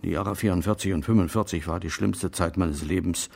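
Creaky, guarded old German man. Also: deep voice, a little mellow. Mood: weary, grave. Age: older, about 80s. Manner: halting, evasive, defensive. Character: old German former Nazi policeman, guarded, self-justifying. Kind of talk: radio drama dialogue.

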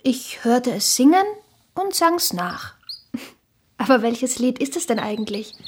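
An actress playing a young, blunt and teasing shopkeeper's daughter in a radio drama, plain-spoken and direct. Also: female voice, on the high side, very animated, a little slow, slight background noise. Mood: teasing, surprised. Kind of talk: literary radio drama dialogue.

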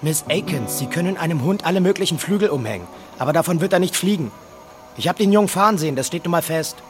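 A man's voice: fairly high pitch, lively intonation, fast, soft background music, natural voice. An actor playing a stern but fair small-town policeman in a German audio drama, speaking in a dry, folksy, firm way. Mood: stern, suspicious.

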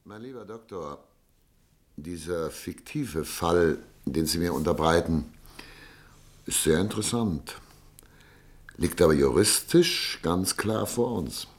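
A self-assured, eloquent judge in a radio drama. His voice is on the low side, and he speaks deliberately, like a practised courtroom orator with a pompous streak. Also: expressive. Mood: genial, deliberate. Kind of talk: German radio play acting.

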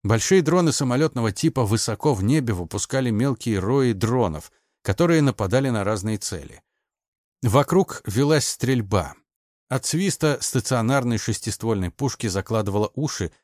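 A man's voice: on the low side, lively intonation, normal speed, a little mellow, no echo, studio quality. A man narrating an audiobook in a scripted, dramatic storytelling style.

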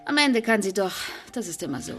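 An actress playing a composed, dry-witted assistant to a playwright in a German radio play. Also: female voice, medium pitch, sing-song, a little fast, faint music behind, lightly acted. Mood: breezily unruffled.

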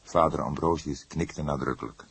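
A man reading a detective story aloud as an audiobook narrator, in a measured, literary storytelling manner. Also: rumbling low, gravelly, fairly bright tone, husky, dry recording.

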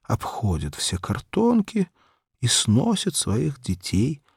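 Male audiobook narrator, low slightly husky voice, theatrical, measured, very animated intonation. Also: no room sound, studio quality. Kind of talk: expressive literary audiobook narration for children.

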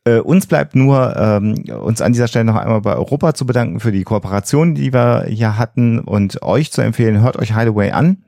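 A man co-hosting a podcast in a casual, chatty style, often pausing as he searches for phrasing. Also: mid-range voice, creaky, warm tone, dry recording, clean studio sound. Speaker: podcast co-host.

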